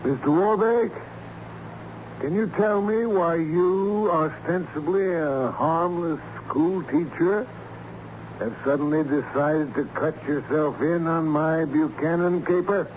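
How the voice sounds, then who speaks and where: A man playing a hearty, menacingly polite crooked lawyer, questioning formally and briskly in an old-time radio drama. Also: on the high side. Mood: smoothly threatening. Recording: muffled, noisy old radio recording.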